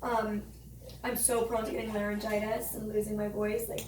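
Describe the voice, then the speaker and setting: A woman's voice: mid-range voice, level tone, normal speed, echoey room, distant mic, noisy background. A young woman who is a professional speaker, talking in a chatty casual way with lots of "like". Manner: chatty, lots of "like", laughs easily. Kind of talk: casual chat. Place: hot room under studio lights.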